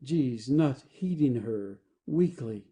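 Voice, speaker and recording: A woman's voice: extremely low pitch, animated, slow pace, dark tone. A woman giving scripted narration, reading out the stage directions of an audio play.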